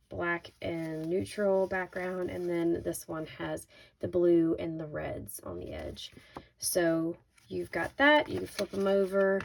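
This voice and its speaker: A woman with a slightly raspy voice hosting a casual craft unboxing, chatting informally and thinking aloud as she shows each item. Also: on the low side. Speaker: craft video host. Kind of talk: casual unboxing product walkthrough. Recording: noisy, with crinkling handling sounds.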